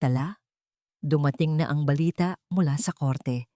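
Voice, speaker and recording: A woman voicing a supportive male suitor in a romance story, speaking gently and with admiration. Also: deep voice, measured pace, dry recording, studio quality. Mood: admiring, reassuring.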